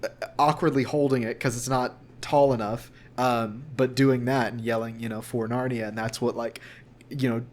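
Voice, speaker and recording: A young man chatting casually as an interview guest, rambling and halting in a self-deprecating way. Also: mid-range voice, fairly even intonation. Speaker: voice actor guest.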